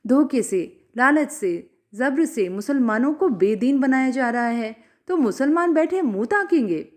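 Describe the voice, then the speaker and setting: A stern, zealous cleric voiced by a woman storyteller, commanding and preachy. The delivery swings in pitch as he justifies himself and lays down orders in an audiobook tale. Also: animated, measured pace. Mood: self-justifying.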